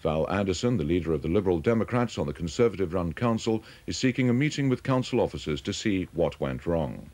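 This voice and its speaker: A British man reads a regional television news bulletin. His voice is very low and he keeps to a scripted newsreading delivery. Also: expressive, fast talker, hardly any pauses, darkish tone. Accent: British English.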